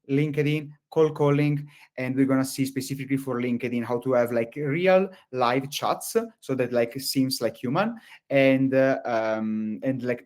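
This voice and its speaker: A man with an Italian accent leads a live webinar over a video call, speaking at an average pitch. His sentences ramble, packed with fillers and restarts as he gathers his thoughts. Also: darkish tone. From Italy. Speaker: webinar presenter.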